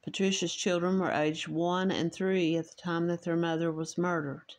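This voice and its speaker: A woman with an Appalachian accent reading a true crime story in a measured, slightly halting way, stopping to share her own thoughts. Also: fairly low pitch, little pitch movement. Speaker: true crime podcast host.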